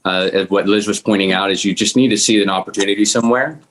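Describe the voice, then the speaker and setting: A man's voice: on the low side, fairly even intonation, quick pace, a bit bright, a little hiss or hum. A man speaking as a guest in informal, appreciative remarks, rambling a little and encouraging. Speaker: guest actor from a table read.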